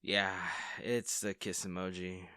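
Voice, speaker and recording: A man acts out a kind male stranger with a lowish voice. He talks gently and playfully, as in an intimate audio roleplay. He gets bashful and hesitant, as if caring for someone hurt. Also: flat delivery, slow pace, clean tone, no echo, studio quality, full character voice. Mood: shy, flustered. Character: kind, bashful male stranger comforting an injured crash survivor.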